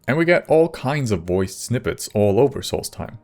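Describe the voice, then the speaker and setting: A man's voice: mid-range voice, very animated, normal speed, a little mellow, faint music behind. A man hosting a scripted video essay about games, speaking as a narrator.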